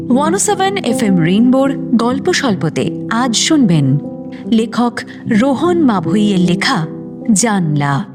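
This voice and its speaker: High-voiced woman presenting, lively intonation. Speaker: presenter introducing an audio story. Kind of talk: scripted radio narration. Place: studio. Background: faint music.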